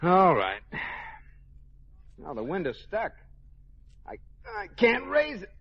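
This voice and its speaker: A man's voice: high-pitched, huge pitch swings, takes it slowly, creaky, slightly rough, heavily acted. Wry married man, swooping intonation, dry comic timing, old-time radio comedy, muffled recording. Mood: grumbling, drowsy. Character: wry, sleepy husband in a domestic comedy. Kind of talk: 1940s radio sitcom acting.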